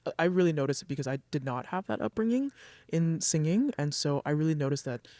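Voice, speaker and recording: A man's voice: on the high side, animated, fast, a little mellow, no echo. A man in a band talking in a casual interview, upbeat and chatty, laughing easily. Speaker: band member.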